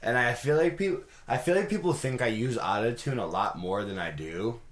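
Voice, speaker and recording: A raspy-voiced young man hosting a podcast in his home studio, chatting loosely with lots of fillers and laughs. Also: medium pitch, animated, normal speed, reverberant. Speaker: podcast host and singer. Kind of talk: casual chat.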